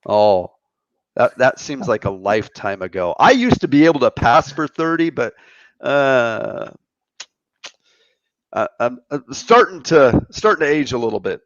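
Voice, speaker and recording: A man's voice: medium pitch, expressive, unhurried, no echo. A man in his fifties hosting a live online show, chatty and joking with viewers. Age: 50s. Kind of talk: casual live-stream chat. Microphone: computer mic on a live video stream. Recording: dry, slightly dull stream audio.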